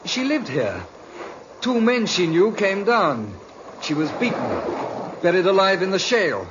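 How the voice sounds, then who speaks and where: A man's voice: sits high, big pitch swings, unhurried. An actor in a 1950s radio drama playing a curt, detached married man with menace toward his lover. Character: cold, married male lover turning menacing. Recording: muffled, noisy vintage radio recording.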